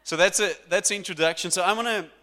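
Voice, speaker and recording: A man preaching to his congregation as pastor, lively and talking directly to the people in front of him. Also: high-pitched, fast talker. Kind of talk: sermon. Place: church hall. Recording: long church hall reverb.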